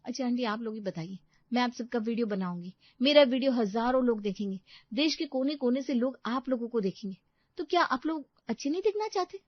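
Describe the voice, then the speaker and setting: A woman's voice: a little high, expressive, a little fast, dry recording, highs cut off. A woman voicing a vain, bossy young bride who chirpily performs for her followers in a cartoon story. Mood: insistent. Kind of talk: cartoon moral-story dialogue.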